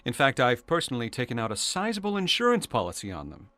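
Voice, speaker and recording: Smug young playboy heir, swooping intonation. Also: male voice, mid-range voice, huge pitch swings, quick pace, bright, forceful tone, no room sound. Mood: boastful. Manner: suave, boastful, self-satisfied. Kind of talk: radio suspense drama acting.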